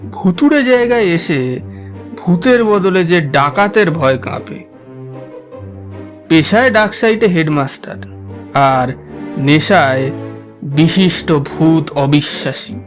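A man's voice: fairly high pitch, slow, long pauses, soft background music. A man playing a wry believer in ghosts, narrating a horror audio drama in a theatrical, teasing way. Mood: wry, amused.

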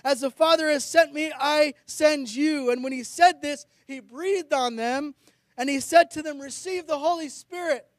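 A man's voice: very high-pitched, normal speed, dry recording, studio quality. A middle-aged man preaching a sermon as a pastor, earnest and declamatory, reading out scripture. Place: outdoors on a high ledge in a blizzard. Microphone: handheld or stand microphone close to the mouth.

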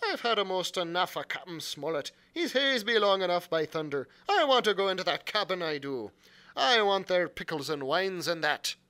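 A man voicing a surly, impatient male pirate coxswain with a gruff, growling delivery. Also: high-pitched, animated, normal speed, bright, forceful tone, pitched above the performer's own voice. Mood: grumbling.

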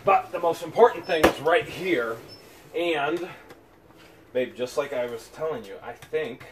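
A man's voice: on the high side, measured pace, heavy background noise. A man hosting an unboxing vlog, chatting casually and exclaiming over each find, recorded on a handheld camera in a garage. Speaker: vlog host unboxing storage finds.

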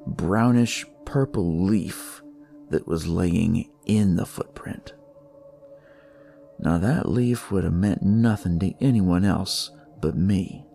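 Guy playing a crude weary detective telling a noir story, gravelly low-key voice, wry, faint music behind. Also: warm tone, exaggerated voice. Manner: wry, conversational, world-weary. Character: jaded, crude male police detective telling his story. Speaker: narrator. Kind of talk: noir first-person scripted narration.

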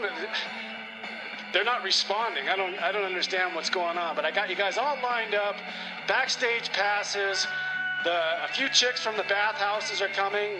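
Bewildered concert buddy played by a man for radio comedy, high voice, rambling and eager, loud music behind. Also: rough recording. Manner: rambling, puzzled.

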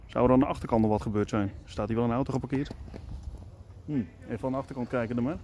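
A man in his forties talks straight into a handheld camera, thinking out loud with plenty of hesitations. His pitch sits in a middling range. Faint outdoor and traffic noise runs underneath. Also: quick pace. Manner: chatty, musing aloud with many fillers. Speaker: urbex vlogger. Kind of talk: casual vlog commentary. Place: outdoors on a scooter, later indoors in a stairwell. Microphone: camera at arm's length.

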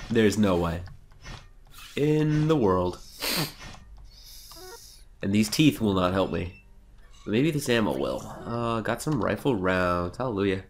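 A man's voice: fairly low pitch, slight background noise. Sarcastic young man with a cold. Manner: sarcastic, self-mocking, swears. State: has a cold, nasal and sniffly. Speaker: let's play host. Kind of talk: casual gameplay commentary.

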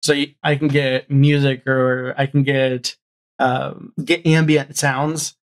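A man with a mid-pitched voice chats informally as a guest on a podcast video call. His delivery is halting, often doubling back on words while he explains how he works. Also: level tone. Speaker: podcast guest, audio drama showrunner. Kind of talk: casual chat. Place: home room, video call.

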